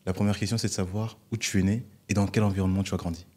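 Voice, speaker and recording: A woman co-hosting a podcast, asking questions in a casual, informal way with quick reactions. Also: extremely low pitch, fairly even intonation, dark tone. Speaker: podcast co-host. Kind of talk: casual interview chat.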